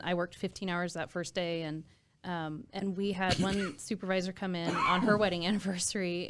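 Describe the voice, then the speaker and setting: Clear-voiced American woman, slightly low. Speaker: emergency dispatch supervisor and trainer, podcast guest. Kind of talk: casual interview storytelling.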